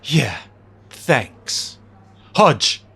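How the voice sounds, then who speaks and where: A man's voice: mid-range voice, very animated, very slow, gravelly, a bit bright, husky, full character voice. A man playing a bitter, brooding, wealthy fallen superhero with a sneering, curt manner in an audio drama. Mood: bitter anger.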